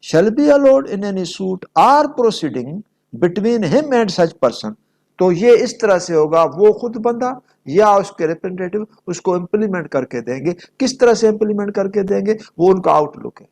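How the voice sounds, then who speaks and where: A man's voice: high-pitched, animated, a little slow, gravelly, darkish tone. A man giving an explanatory law lecture, reading out formal text and restating each point in a didactic way. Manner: didactic, repeats and rephrases points. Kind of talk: explanatory lecture mixing read-out statute and plain examples.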